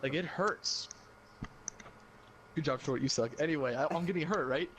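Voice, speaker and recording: A young man casually telling a comic personal anecdote on a podcast, animated and slangy with some swearing. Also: fairly high pitch, normal speed, slight background noise. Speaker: podcast storyteller.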